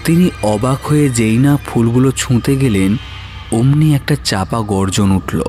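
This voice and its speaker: A man narrating a horror story in a measured, dramatic, suspenseful manner. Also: mid-range voice, darkish tone, soft background music.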